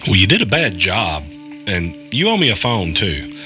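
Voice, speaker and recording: Audio drama: wary, sullen everyman played by a man, very bright and tense, lowish pitch, sarcastic. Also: huge pitch swings, normal speed, faint music behind, full character voice. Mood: sullen, skeptical. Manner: sullen, skeptical, hesitant. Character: wary, sarcastic ordinary guy drawn into a mystery.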